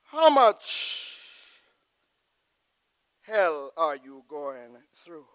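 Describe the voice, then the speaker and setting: A middle-aged pastor preaching a sermon from the pulpit of a large church, swelling to loud emphatic peaks and dropping to a hushed prayerful close. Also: male voice, on the high side, big pitch swings, extremely slow, no room sound, poor audio. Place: large church sanctuary with choir behind. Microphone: pulpit mic.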